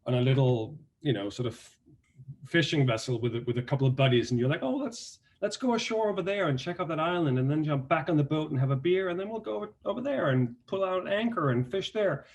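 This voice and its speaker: A man from Denmark with a Danish accent, a guest actor telling stories in a relaxed interview, often restarting and filling his sentences. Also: fairly high pitch, fast. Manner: relaxed storytelling with many restarts and fillers. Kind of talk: casual interview talk.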